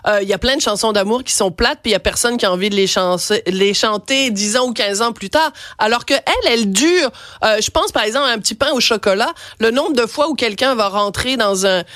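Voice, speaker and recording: A woman's voice: fairly high pitch, a little fast, a bit bright, dry recording, clean studio sound. Chatty Quebec radio host woman. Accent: Quebec French. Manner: chatty, playful, laughs often. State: has a cold. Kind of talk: lively radio interview.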